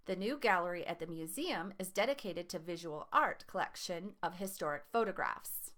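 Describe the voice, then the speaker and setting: A woman's voice: lowish voice, expressive, normal speed, a bit bright. Woman teacher reading a lesson aloud. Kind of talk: scripted educational reading of vocabulary and examples.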